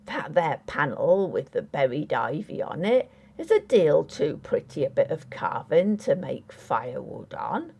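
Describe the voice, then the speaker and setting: A woman's voice: low-pitched, sing-song, measured pace, creaky, few pauses, darkish tone, breathy edge, pitched below the performer's own voice, heavily acted. Woman voicing a dogged village carpenter. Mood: pleading, disapproving. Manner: folksy, rambling, dialect grammar. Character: old working-class English village carpenter, dogged, wry and superstitious.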